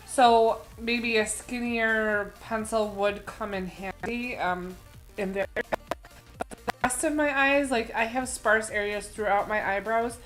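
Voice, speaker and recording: A middle-aged woman, slightly hoarse from a head cold, chatting casually about beauty products at home with a few fillers. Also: medium pitch, a bit bright, rough recording. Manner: chatty, explaining with frequent fillers. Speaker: beauty vlogger, mother. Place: indoor home room with string lights.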